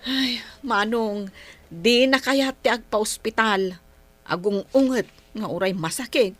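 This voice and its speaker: A grown Filipino daughter in a radio drama, fretting and fed up over her ailing father. Her voice is fairly high, with soft music behind it. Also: slow. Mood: concerned, pleading. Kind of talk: Ilocano radio drama acting.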